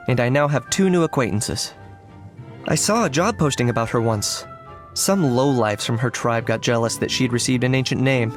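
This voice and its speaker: An actor playing an aloof young male hunter in a video game, with a measured, deadpan delivery full of dry understatement. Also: mid-range voice, natural voice. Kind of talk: scripted video game character voice lines. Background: background music.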